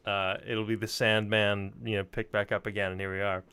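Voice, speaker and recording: A man hosting a casual interview, halting as he thinks his questions out loud. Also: lowish voice, flat delivery, clean tone. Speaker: show host, interviewer.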